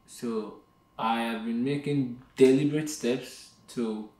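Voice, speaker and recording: A man's voice: mid-range voice, fairly even intonation, slow, a little mellow, roomy sound. A young Nigerian man with a Nigerian accent, chatting playfully and dramatically on a couple's vlog and mixing in Yoruba phrases. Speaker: vlog co-host. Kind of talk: casual chat.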